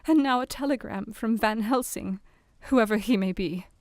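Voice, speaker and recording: A woman's voice: a little high, moderate pace, darkish tone, close to an everyday voice. An actress playing a sorrowful young Victorian wife, tenderly and mournfully reading her journal in an audio drama. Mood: weary, sad.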